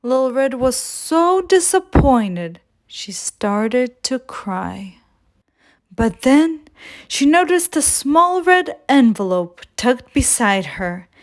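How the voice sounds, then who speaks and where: Slow-paced woman reading a children's storybook. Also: high voice, big pitch swings. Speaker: children's storytime reader and host. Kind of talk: scripted storybook read-aloud for children.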